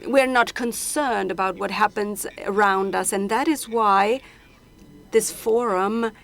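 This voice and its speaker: A woman interpreting a panel discussion simultaneously, halting often with hesitations and restarts as she renders each speaker. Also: medium pitch, gravelly, fairly bright tone. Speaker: conference interpreter.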